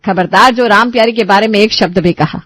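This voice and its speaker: A woman's voice: mid-range voice, brisk, no echo, natural voice. Woman playing a scolding, indignant old mother-in-law. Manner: sharp, dramatic scolding. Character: elderly mother-in-law, indignant, scolding, sentimental about her buffalo.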